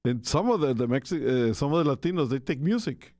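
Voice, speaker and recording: A man's voice: mid-range voice, lively intonation, quick pace, darkish tone, dry recording, clean studio sound. A man from Costa Rica hosting a casual radio talk segment, rambling with many fillers and restarts. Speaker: radio show host.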